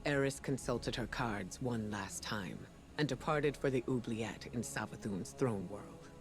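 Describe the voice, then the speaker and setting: A steadfast game ally played by a man briefs a warrior in a measured, solemn voice of middle pitch. The delivery is earnest and weighty, with music swelling clearly behind it. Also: close to an everyday voice. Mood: solemn urgency. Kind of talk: dramatic game mission briefing. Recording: studio voice under prominent music.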